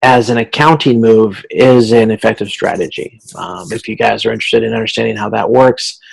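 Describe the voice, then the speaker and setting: A man teaching as a college instructor in an informal recorded lecture, explaining in a halting way and correcting himself as he goes. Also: on the low side, flat delivery, gravelly, husky, rough recording.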